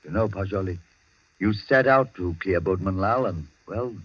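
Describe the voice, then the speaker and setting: A muffled, dry old radio drama recording of a flustered, loyal gentleman. His husky voice is hesitant and exclamatory, with old-fashioned politeness and 1940s theatrical delivery. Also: low voice, moderate pace, dry recording. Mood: astonished. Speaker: radio actor.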